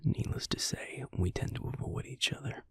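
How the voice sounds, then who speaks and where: A man playing a brooding, protective vampire lover in an intimate audio roleplay, whispering slowly and haltingly with a breathy, gravelly voice. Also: a bit bright, no echo, clean studio sound, natural voice. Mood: bitter, confiding. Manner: slow, halting delivery with pauses.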